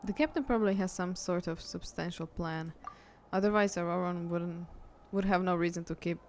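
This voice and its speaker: A woman's voice: fairly low pitch, normal speed, darkish tone, a little hiss or hum. A woman streaming a game, giving casual, jokey live commentary as she plays.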